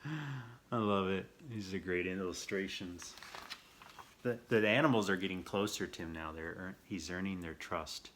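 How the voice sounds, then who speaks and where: A man reading a children's picture book aloud as host, playful and theatrical, with expressive asides and questions. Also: low-pitched, unhurried, a little hiss or hum. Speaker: storyteller, host of a read-aloud show.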